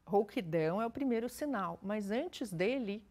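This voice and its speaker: A woman speaks at a steady, measured pace, explaining voice care as a speech therapist. She keeps to plain, practical examples and a calm teaching tone. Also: medium pitch. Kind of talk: explanatory interview answers.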